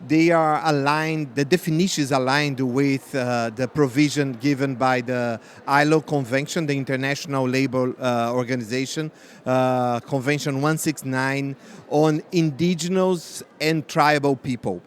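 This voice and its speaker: Brazilian guy, slow with lots of uhs, faint background noise. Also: mid-range voice, steady tone. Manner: deliberate, hesitant, many uh fillers. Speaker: advocate, guest. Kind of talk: panel conversation.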